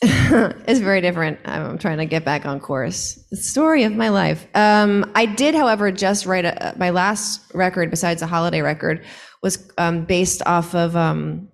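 A woman who is a songwriter, chatting casually as an interview guest in an animated, joking, self-deprecating way. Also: normal speed, vocal fry. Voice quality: low singing voice.

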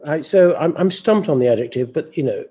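A man who is a novelist speaking as a panel guest, halting and reflective, with stammered repeats. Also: on the high side, expressive, dark tone, slightly rough. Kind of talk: panel discussion.

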